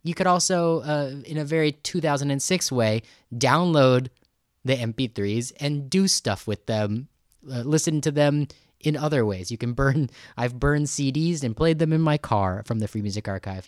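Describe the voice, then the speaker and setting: A man's voice: mid-range voice, moderate pace, dry recording, clean studio sound. A man hosting a podcast interview in an enthusiastic, rambling, conversational way. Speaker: podcast host.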